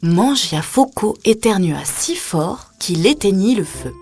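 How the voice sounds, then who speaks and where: A woman's voice: mid-range voice, animated, takes it slowly, a little mellow, husky, dry recording. A woman reading a scripted children's story as a storyteller, putting questions to the young listener. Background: music.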